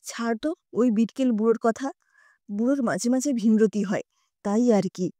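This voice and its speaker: A woman voicing a fussy, sharp-tongued older woman who grumbles and scolds in an audio story. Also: a little high, normal speed, no echo, pitched below the performer's own voice, close to an everyday voice. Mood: scornful.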